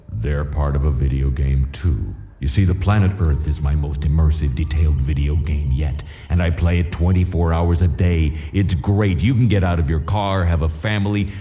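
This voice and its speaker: An actor playing a laid-back, nerdy gamer God who talks like a casual slacker in an animated game, in echoing reverb over music. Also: male voice, very deep voice. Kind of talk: animated video game cutscene acting.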